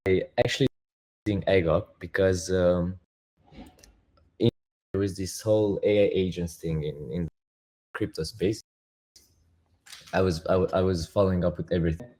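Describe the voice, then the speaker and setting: A man with a Romanian accent talks through his project as a guest, in a low-pitched, warm, mellow voice. He restarts his sentences often and builds his points step by step. The sound is casual and conversational. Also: steady tone, moderate pace, long pauses, rough recording. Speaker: guest, crypto project founder. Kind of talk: casual interview talk.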